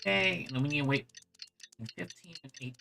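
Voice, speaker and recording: Guy streamer chatting casually, croaky fry, pitch jumps around, game music behind. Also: quick pace. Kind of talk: casual gameplay commentary, reading game prompts.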